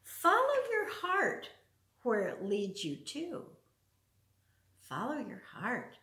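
Slow, lilting older woman reading to children. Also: on the high side, huge pitch swings, bright, forceful tone. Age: older, around 70s. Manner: simple, child-directed talk with frequent questions. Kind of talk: children's storytime read-aloud with chatty asides. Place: large open living room with wood floor, indoors. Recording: roomy echo, distant from the mic.

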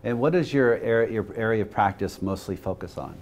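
A middle-aged man with a fairly low voice hosts a studio interview. He asks questions in a friendly, upbeat way. He is recorded on a lapel mic in a television studio. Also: a little fast, darkish tone. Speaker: talk show host.

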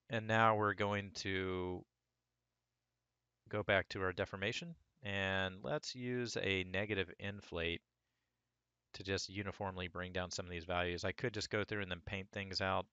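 A man with a hoarse voice from a cold, talking through a sculpting tutorial step by step in a relaxed way. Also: deep voice, dry recording, lo-fi sound. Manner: relaxed, talks through each step as he works. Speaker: 3D sculpting instructor. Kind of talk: screen-recorded software tutorial.

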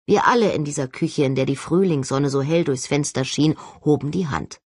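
A woman reading a first-person novel as an expressive, scripted narration. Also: low voice, moderate pace, breathy edge, dry recording, clean studio sound. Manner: expressive, acts out dialogue. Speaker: audiobook narrator.

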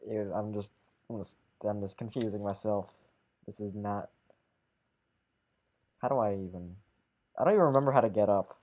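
Male gamer, low-ish voice, casual rambling chat with quick exclamations. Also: dull audio. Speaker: game player.

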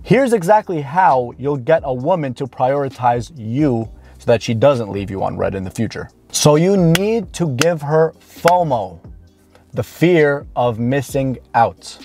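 A man's voice: medium pitch, lively intonation, darkish tone. A man of around thirty gives blunt, informal, emphatic coaching advice straight to camera. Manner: blunt, informal, emphatic with drawn-out key words. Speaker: dating coach. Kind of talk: direct-to-camera advice talk. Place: inside a parked car. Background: background music.

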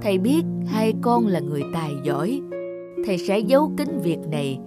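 A wise old village teacher from an animated folk tale, voiced by a woman. He speaks gently and slowly settles lower. Music plays in the background. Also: close to an everyday voice. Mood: gentle. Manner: gentle, fatherly.

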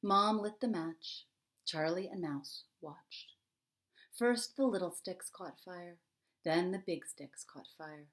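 A middle-aged woman with a slightly low voice talks to young children as an author would. Her delivery is expressive and conversational, and she leans on pauses for emphasis as she reads or explains. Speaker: children's author reading her book and giving a writing prompt. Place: small indoor home room.

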